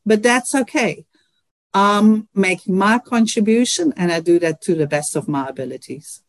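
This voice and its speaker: Dutch-accented woman, middle-aged, earnest, reflective, mid pitch, dry close sound. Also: animated, normal speed, no room sound. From Netherlands. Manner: earnest, reflective, many "you know" fillers. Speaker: guest, engineering professor. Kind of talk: radio interview answers.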